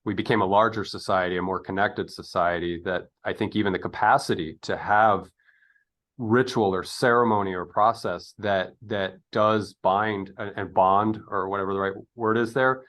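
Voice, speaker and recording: A man in his fifties with a slightly low voice. He hosts a casual, reflective conversation and feels his way through sentences, often halting to hunt for the right word. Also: fairly even intonation, fairly bright tone. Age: 50s. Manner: halting, searching for words. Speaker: interview host.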